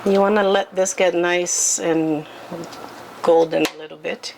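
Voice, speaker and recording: A woman from Brazil, a guest cook who talks through a recipe in a relaxed way, laughing easily. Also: on the low side, a little slow, vocal fry, noisy background. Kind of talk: casual cooking chat. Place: home kitchen.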